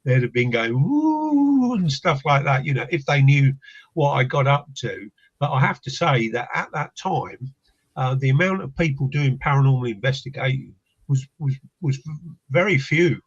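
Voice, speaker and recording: A man's voice: medium pitch, big pitch swings, normal speed, husky. An older man, a paranormal investigator from England, talking as a guest in a casual chat, reflective and hesitant with many restarts. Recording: dull lo-fi line.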